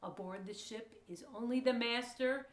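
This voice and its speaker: A woman's voice: fairly high pitch, normal speed. A woman reading scripted historical narration for an audio play. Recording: echoey room.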